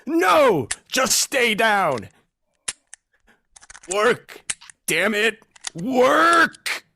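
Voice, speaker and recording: A man playing a bossy, insecure zombie hunter in a comedic audio play, with a husky voice and an excitable, commanding delivery. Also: very high voice, extremely slow, slight background noise, heavily acted. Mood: frantic. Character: bossy, insecure male zombie-hunting team leader.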